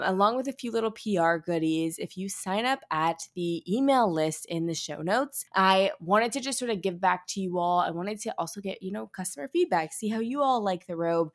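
Young woman podcast host, chatty and upbeat, laughs a lot, casual interview vibe. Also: medium pitch. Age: young adult.

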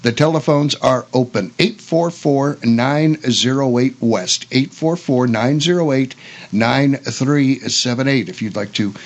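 Older male radio host, gravelly creak, casual and folksy delivery. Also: medium pitch, unhurried. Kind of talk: casual chatty radio hosting. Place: radio studio.

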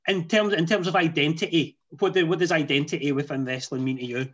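A man's voice: on the high side, fairly even intonation, fast talker, fairly bright tone. Scottish male host, self-mocking storyteller, dull lo-fi audio. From Scotland. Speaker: podcast host. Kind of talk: casual chat, hosting.

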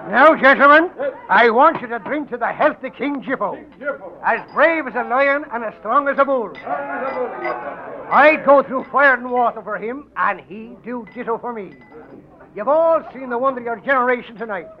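An actor playing a wheedling, boastful little Irish drinking crony in a theatrical 1940s radio style. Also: male voice, extremely high pitch, moderate pace. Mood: fawning, grandiose. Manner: blustering, flattering, theatrical. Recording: muffled, noisy 1940s radio broadcast.

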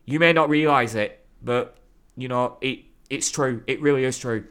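Bright-voiced sweary man. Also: medium pitch, normal speed, clear, resonant tone. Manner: sweary, animated, rambling. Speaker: podcast co-host. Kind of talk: casual chat.

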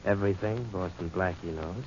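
A hard-boiled private eye from a vintage radio serial, played by a man, cocky and quick to take offence. He has a deep voice on a dull recording with faint noise. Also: steady tone, lightly acted. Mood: smug, wisecracking. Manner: cocky, wisecracking. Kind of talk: 1940s radio crime drama acting.

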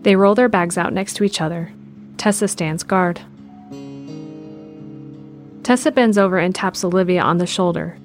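Lowish-voiced woman narrating over music. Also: moderate pace, pauses often. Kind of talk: scripted audio drama narration. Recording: music behind the voice.

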